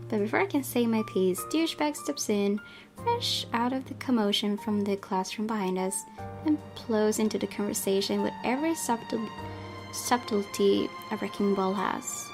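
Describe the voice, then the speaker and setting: A woman's voice: mid-range voice, normal speed. A woman reading a visual novel aloud as a gameplay host, in a storytelling narration style. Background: guitar music.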